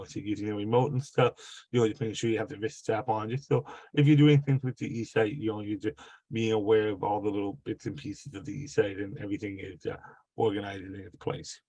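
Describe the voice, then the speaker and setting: A man with a cold and a gravelly voice hosting a live online workshop over a video call, halting and full of fillers and restarts. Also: fairly low pitch, mellow, no echo. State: has a cold. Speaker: webinar host.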